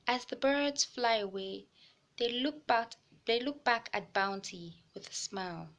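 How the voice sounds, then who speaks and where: Slow-paced woman reading a children's storybook. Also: a little high, a bit bright. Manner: slow, deliberate phrasing addressed to young listeners. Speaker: host of a children's read-aloud series. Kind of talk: children's storybook read-aloud narration.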